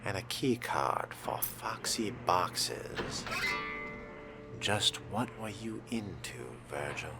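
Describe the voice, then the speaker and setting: A man with a very low voice narrates a game in character. His delivery is very slow and deliberate, formal in wording and often hushed, as if musing to himself. Music plays clearly behind him. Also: lively intonation. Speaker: game player role-playing a vampire character.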